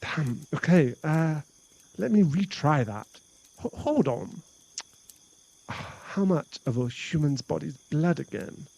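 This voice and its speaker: Man playing a snarky, higher-pitched jealous vampire. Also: expressive, takes it slowly, creaky, darkish tone, a little hiss or hum, exaggerated voice, rough recording. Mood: flustered.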